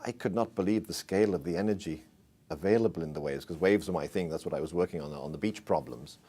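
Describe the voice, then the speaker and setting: Deep mellow-voiced Welsh man in his 60s. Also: fast talker, slightly rough. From Wales. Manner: relaxed, repeats words when starting a sentence. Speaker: ocean engineer interviewee. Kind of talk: conversational interview answers. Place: small indoor interview room.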